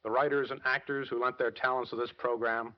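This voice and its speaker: A man's voice: mid-range voice, level tone, brisk. Male producer, formal read speech, mid-range voice, dull forties radio recording. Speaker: radio producer-director accepting an award. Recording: muffled vintage radio recording.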